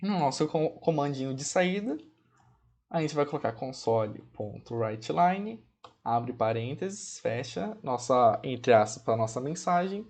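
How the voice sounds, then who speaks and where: A man's voice: a little high, a little mellow. Chatty Brazilian man teaching code. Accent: Brazilian Portuguese. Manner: chatty, checks in often with "ok?" and "pessoal", fond of diminutives; spells out commands slowly while typing. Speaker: programming instructor. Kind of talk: casual screencast coding tutorial.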